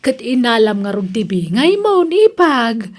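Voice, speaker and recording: Woman acting a feisty daughter-in-law, fairly high voice, expressive, exclaiming, Ilocano comedy radio drama. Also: measured pace, a little hiss or hum. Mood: indignant.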